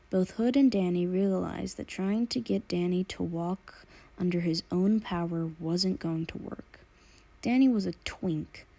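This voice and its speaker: A woman's voice: on the low side, creaky, dark tone. A woman reading fan fiction aloud as scripted audiobook narration.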